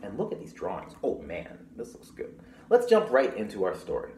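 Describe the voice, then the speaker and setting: Animated young man reading storytime, roomy sound. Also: on the low side, normal speed. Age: young adult. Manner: animated, simple child-directed explaining with rhetorical questions. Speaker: children's librarian reading picture books. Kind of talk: storytime read-aloud with explanatory asides. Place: small room at home, indoors. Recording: roomy echo with clear background noise.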